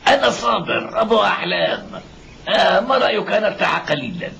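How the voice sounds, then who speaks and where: A man's voice: high-pitched, animated, fast talker, gravelly, bright, forceful tone, lo-fi sound, a little hiss or hum, full character voice. An actor playing a poor blind old father in a cartoon, groaning and muttering as he talks. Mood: friendly, chuckling. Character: poor blind old father, pitiful yet hopeful wanderer. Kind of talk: cartoon dub acting.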